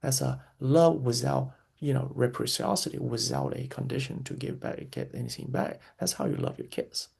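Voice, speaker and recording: A man's voice: mid-range voice, normal speed, vocal fry, hardly any pauses, a little mellow, slightly rough. A man speaking as an interview guest, giving earnest, reflective answers, repeating key phrases and often restarting his sentences.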